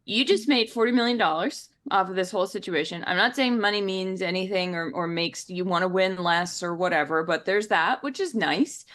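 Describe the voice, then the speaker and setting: A woman co-hosting a sports talk show, talking straight to the listener with playful energy. Her pitch sits in the middle for a woman. She keeps a casual, lively tone throughout. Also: brisk, few pauses. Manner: playful, animated pep talk. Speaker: podcast co-host.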